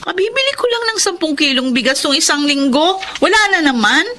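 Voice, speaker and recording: A woman's voice: high-pitched, expressive, fairly quick, pushed and bright, a little hiss or hum. A woman playing a weary, exasperated young wife struggling with poverty in a Filipino radio drama, with a plaintive delivery. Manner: plaintive, long-suffering. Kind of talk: Filipino radio drama acting and first-person narration.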